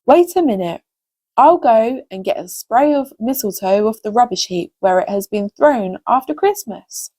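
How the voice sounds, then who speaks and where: A woman's voice: on the high side, animated, normal speed, warm tone, dry recording. A woman voicing a big, helpful male thrush who explains things matter-of-factly in a children's storybook. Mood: confident. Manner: matter-of-fact, self-assured.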